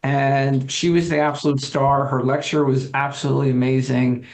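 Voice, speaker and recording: Male co-host, gravelly tone, eager and filler-heavy casual delivery. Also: mid-range voice. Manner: eager, stumbling with many fillers. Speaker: podcast co-host. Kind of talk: casual podcast chat.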